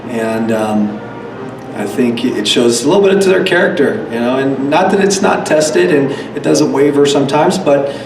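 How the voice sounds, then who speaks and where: Hoarse coach in an echoey room. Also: male voice, medium pitch, expressive, normal speed. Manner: effusive, many fillers and restarts. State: slightly hoarse from a cold. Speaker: head coach. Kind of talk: post-game press conference answers. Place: press conference room. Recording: echoey room, distant mic, background noise.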